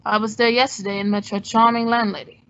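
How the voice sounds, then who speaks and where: A woman playing a cool, quick-witted male adventurer and sleuth in an old-time radio drama. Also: a little high, little pitch movement, fairly bright tone. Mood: pressing, urgent. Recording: dull lo-fi audio.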